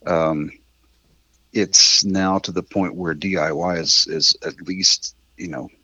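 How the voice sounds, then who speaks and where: A middle-aged man with a husky voice, chatting candidly and casually as an interview guest, rambling a little and swearing mildly. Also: low-pitched, fairly even intonation, takes it slowly, sharp tone, dry recording, highs cut off. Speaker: interview guest, independent musician.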